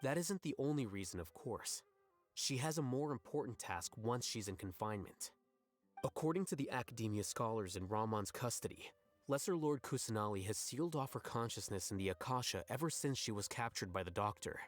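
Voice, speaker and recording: A man playing a calm, calculating scholar in a video game, laying out plans in measured, articulate phrases. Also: medium pitch, soft background music, natural voice. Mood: composed.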